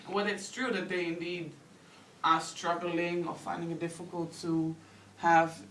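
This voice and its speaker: A woman's voice: fairly low pitch, level tone, a bit bright, roomy sound, far from the mic, a little hiss or hum, rough recording. A woman in her thirties with a Dutch accent, a guest on a radio interview, talking in a chatty way and saying "like" often. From Netherlands. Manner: chatty, quick, uses "like" often. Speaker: guest, anthropologist.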